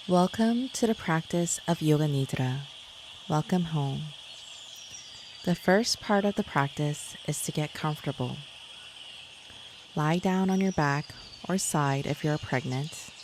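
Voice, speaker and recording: Low-pitched woman guiding meditation over cricket sounds. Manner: measured, soothing instruction. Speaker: yoga nidra guide. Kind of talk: scripted guided meditation. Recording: clear insect and nature noise behind.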